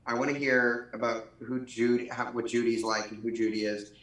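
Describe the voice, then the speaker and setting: Male co-host, mid-range voice, casual animated explainer, roomy echo. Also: mid-range voice, moderate pace. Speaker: podcast co-host. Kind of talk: casual chat. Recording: roomy, echoing sound.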